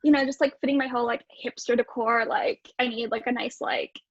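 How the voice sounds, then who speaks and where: A woman plays an awkward hipster guest in an improv comedy scene. Her high voice is polite and tentative, padded with filler words, and the sound is a little dull. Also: fairly even intonation, brisk. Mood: politely hesitant. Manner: tentative, filler-heavy, trendy youthful phrasing.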